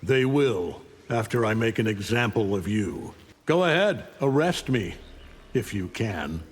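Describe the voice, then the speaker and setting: An actor playing an arrogant, menacing alien superhero conqueror, imperious and taunting, in a fighting game. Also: male voice, on the low side, very animated, a little slow, husky, big-room sound, full character voice. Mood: commanding, taunting. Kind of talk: fighting game character dialogue.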